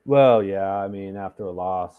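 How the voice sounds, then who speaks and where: A man with a lowish voice chats casually as a co-host, thinking aloud and wandering between points. His voice comes through a thin, phone-like call line. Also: normal speed, smooth. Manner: chatty, thinks aloud with fillers. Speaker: regular co-host.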